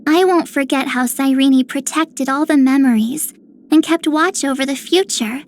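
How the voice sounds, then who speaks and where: A woman's voice: high-pitched, moderate pace, natural voice. A woman voicing an eager, playful young girl heroine in a video game, chatty and exuberant. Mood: cheerful, earnest. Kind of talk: video game character voice lines.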